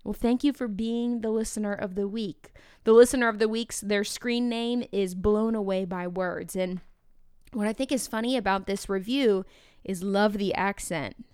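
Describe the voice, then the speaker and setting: A woman hosting a podcast for teachers, talking in an energetic, chatty, enthusiastic way. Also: mid-range voice, normal speed, clean tone, no echo, studio quality. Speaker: podcast host. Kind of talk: upbeat podcast talk to teachers.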